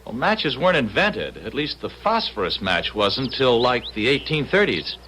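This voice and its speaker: A man's voice: mid-range voice, very animated, normal speed, bright, forceful tone, a little hiss or hum. An actor playing an eager, wry male time traveler in an old-time radio drama, with affectionate banter. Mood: eager, curious.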